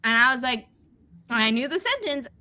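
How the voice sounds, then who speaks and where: A woman with a bright, fairly high voice chats in a casual, anecdotal way. She is animated and slips into acting out what people said. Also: a little fast. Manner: animated, acts out quoted dialogue. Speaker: livestream host. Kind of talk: casual chat, personal anecdotes.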